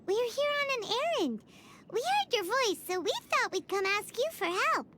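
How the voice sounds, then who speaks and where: A woman voicing a tiny, chirpy, childlike fairy companion in an animated style for a video game, with music behind. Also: moderate pace, a little hiss or hum, exaggerated voice. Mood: friendly. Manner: squeaky, exclamatory, refers to self in third person.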